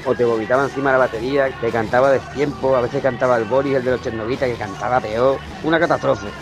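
An actor playing a crude, rowdy drummer recounting a chaotic tour in a mockumentary radio drama. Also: male voice, medium pitch, steady tone, quick pace, music in the background, lightly acted. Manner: brash, repetitive, swears, calls listener brother. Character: fast-talking rowdy male drummer, crude.

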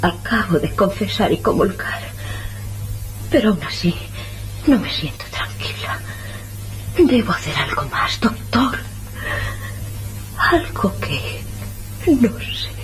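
An actress playing an anxious, pleading woman, halting and solemn, in an old-fashioned hospital radio melodrama. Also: mid-range voice, big pitch swings, faint music behind, low-quality recording. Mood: weak, troubled pleading. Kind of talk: old-fashioned Spanish radio serial acting.

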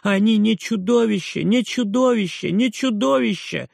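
A man voicing a tearful, defiant young boy who pleads and shouts in a raised voice. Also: high-pitched, moderate pace, gravelly, dry recording, studio quality, pitched above the performer's own voice, exaggerated voice. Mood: distraught.

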